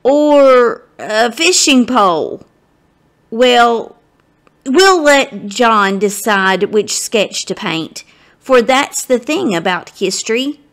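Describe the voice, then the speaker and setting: A woman reading a children's story aloud as a guest reader, expressive and dramatic, speaking directly to her listeners. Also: on the high side, slow pace.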